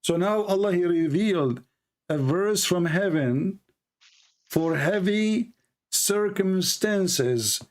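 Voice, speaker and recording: A man hosting a heated religious debate, cutting in and pressing his points with rapid rhetorical questions. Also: sits high, animated. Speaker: debate host.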